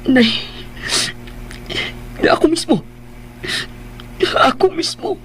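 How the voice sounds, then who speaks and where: A man playing a troubled young man in a Filipino radio drama, speaking haltingly and repeating himself. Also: very high voice, animated, slight background noise, exaggerated voice, poor audio. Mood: anguished. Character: troubled, hesitant young man confessing, Jasper.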